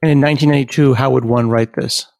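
Man hosting, gravelly-edged and close. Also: mid-range voice, fairly even intonation, quick pace, darkish tone, no room sound. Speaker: podcast host and interviewer. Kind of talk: conversational tech interview with sponsor read.

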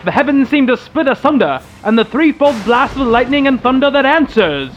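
Very high-voiced, animated male narrator. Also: fairly quick, dull audio, very noisy. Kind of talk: dramatic scripted radio theatre narration.

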